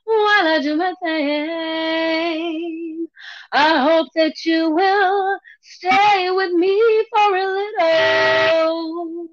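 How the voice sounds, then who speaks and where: A woman's voice: very high-pitched, steady tone, very slow, no echo. A woman guest storyteller and poet, speaking in a lyrical spoken-word way. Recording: dull, lo-fi audio.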